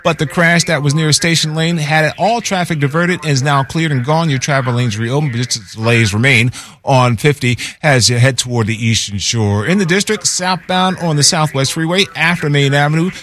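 A man delivering a brisk radio traffic report, rattling off road conditions lane by lane. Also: a little high, fairly bright tone.

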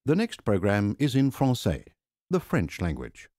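Male radio announcer, low voice, wildly varied intonation, scripted ident, dry close sound. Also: huge pitch swings, unhurried, darkish tone, dry recording. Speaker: station announcer.

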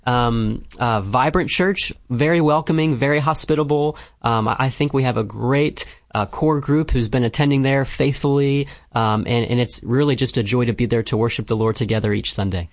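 Friendly young pastor, dry close sound. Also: male voice, mid-range voice, normal speed, few pauses, dry recording. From West Virginia. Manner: friendly, hesitant with many fillers. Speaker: pastor, guest. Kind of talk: radio interview chat.

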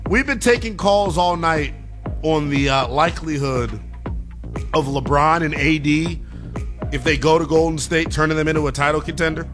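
A man's voice: fairly high pitch, normal speed, music in the background. A man hosting a sports talk radio show, joking and playful with callers and his co-host.

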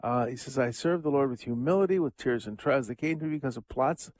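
Older man, radio host, casual rambling Bible talk, mid pitch, dry close recording. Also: quick pace, darkish tone, no room sound. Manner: rambling, chuckling asides, many fillers. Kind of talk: casual scripture commentary.

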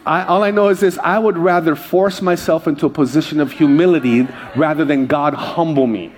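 Pastor from Hawaii, male, fiery sermon with call-outs for amen, slightly high voice. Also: a little fast. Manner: exhorting, calls for amens, swings between loud high bursts and low quiet asides.

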